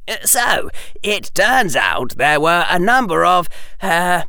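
Man doing an excitable, high-voiced clever schoolboy. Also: slow pace, smooth, no echo, studio quality, full character voice. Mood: eager explaining. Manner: hesitant, wordy explaining. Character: excitable, clever young boy student who explains things. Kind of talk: audiobook character voice.

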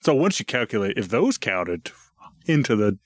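Lowish male voice, jokey podcast chat. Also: sing-song, normal speed, dry recording, clean studio sound. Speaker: podcast co-host. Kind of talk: casual joking banter.

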